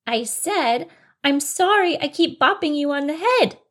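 A woman's voice: sits high, expressive, slow, pitched above the performer's own voice. A woman voicing a hot-tempered young male bunny in a storybook, childlike, earnest and a bit whiny. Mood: frustrated.

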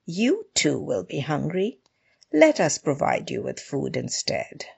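A woman's voice: sits low, big pitch swings, measured pace, vocal fry, a little mellow, husky, exaggerated voice. A woman voicing a timid jungle animal that pleads and reasons politely with its king in a storybook tale. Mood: timid, pleading.

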